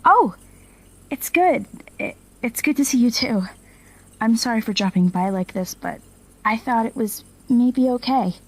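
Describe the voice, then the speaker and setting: A woman's voice: on the high side, expressive, unhurried, poor audio. A young woman playing an apologetic, eager college student in an audio drama, speaking with a hesitant, friendly manner. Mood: awkward, apologetic. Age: young adult. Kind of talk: naturalistic audio drama acting.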